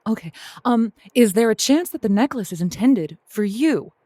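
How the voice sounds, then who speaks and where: A woman playing a kindly, flustered advice show host who speaks haltingly, with wry tact, as in an improvised comic call-in show. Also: fairly high pitch, expressive, warm tone, no room sound, clean studio sound. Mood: puzzled, tactful. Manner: halting, wry, tactful, stammering over delicate words. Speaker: radio host.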